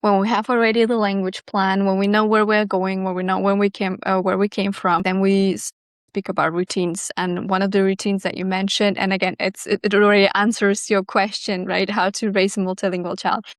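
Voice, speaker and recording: Smooth, even-toned woman expert. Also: mid-range voice, no room sound, clean studio sound. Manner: measured, explanatory, with small restarts. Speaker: bilingual education specialist. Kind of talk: expert interview answers.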